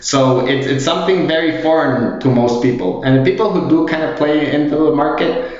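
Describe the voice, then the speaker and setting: A man's voice: fairly high pitch, brisk, a little mellow. A man from Guatemala talking as a guest on a podcast, hesitant and casual, with many fillers. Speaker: guest, fund manager. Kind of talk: podcast interview. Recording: very echoey, dull remote audio.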